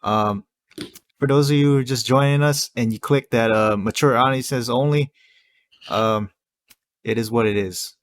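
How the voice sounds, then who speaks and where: A man's voice: mid-range voice, normal speed, pauses often. A man hosting a podcast on a headset, laid-back and laughing along with his guest. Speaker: podcast host.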